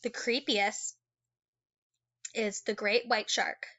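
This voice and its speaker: Female teacher for children's nonfiction read-aloud. Fairly high, bright, expressive delivery with chatty asides; slightly dull recording. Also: slow. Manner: animated, exclaiming and wondering aloud for young listeners.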